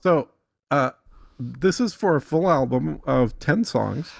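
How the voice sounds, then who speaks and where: Gravelly, blunt man in casual explanation. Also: medium pitch, animated, takes it slowly, no room sound, clean studio sound. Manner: blunt, corrects the other speaker. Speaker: podcast guest explaining music monetization. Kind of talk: casual screen-share tutorial chat.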